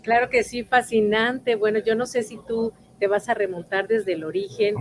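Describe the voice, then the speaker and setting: A woman hosting an arts show, asking her guest curious, animated questions. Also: a little high, moderate pace, a little hiss or hum. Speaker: host. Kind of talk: casual interview.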